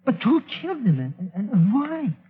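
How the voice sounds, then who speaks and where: Fretful comic sidekick, very high, animated voice, 1940s radio drama. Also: male voice, moderate pace. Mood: nervous, alarmed. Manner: excitable, fretful, exclaiming. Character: excitable, nervous male sidekick to an agent. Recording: thin phone-like old radio recording.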